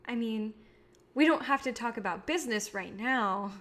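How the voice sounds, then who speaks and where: A woman playing an earnest, idealistic female filmmaker in a staged play reading, speaking in a sincere, measured way. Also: fairly high pitch, a bit bright, lightly acted. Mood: gracious, modest.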